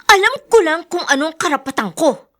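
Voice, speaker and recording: An actress playing a defiant, principled household maid in a radio drama, speaking firmly and emphatically. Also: female voice, extremely high pitch, very animated, normal speed, fairly bright tone, heavily acted. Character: defiant, principled young household maid standing her ground. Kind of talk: Tagalog radio drama acting.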